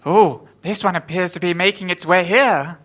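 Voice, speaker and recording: A man's voice: high-pitched, moderate pace, fairly bright tone. A young man playing a dreamy, dithering and apologetic female train controller in an improvised comic radio play. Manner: dithering, easily distracted.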